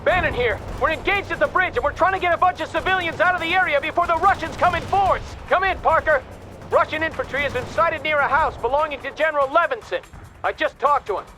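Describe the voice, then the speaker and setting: An actor playing a gruff, resentful American army captain in a video game, brash and barking orders. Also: male voice, very high-pitched, quick pace, pushed and bright, soft background music. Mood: urgent. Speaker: army captain, company commander.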